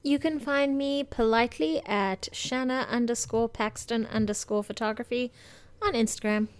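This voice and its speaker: Female co-host, slightly high voice, informal movie-podcast chat. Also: steady tone, normal speed, clear, resonant tone. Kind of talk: casual chat.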